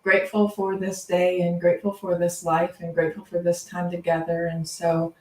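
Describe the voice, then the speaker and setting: A woman reverend leading a spoken invocation in a measured, devotional way. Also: lowish voice, completely flat delivery. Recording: roomy echo, distant mic.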